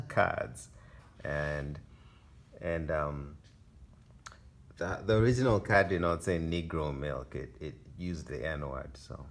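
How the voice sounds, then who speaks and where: A man with a Kenyan accent, from Kenya, talking through his photographs in a relaxed gallery talk. His voice sits very low and he speaks slowly, halting now and then with fillers and soft little laughs. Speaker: photographer presenting his exhibition.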